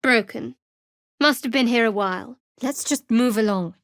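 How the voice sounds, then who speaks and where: A woman playing a guarded, sardonic young cleric in a fantasy video game, speaking in a measured, dry, wry way. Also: on the high side, fairly bright tone, no echo. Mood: cool, wry.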